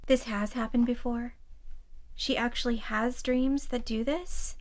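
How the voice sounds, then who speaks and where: An actress plays a brash young college woman in an audio drama, with a fairly high voice. She is pushy and wry, bossing her friends around and curious about spooky things. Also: steady tone, measured pace, lightly acted. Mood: insistent.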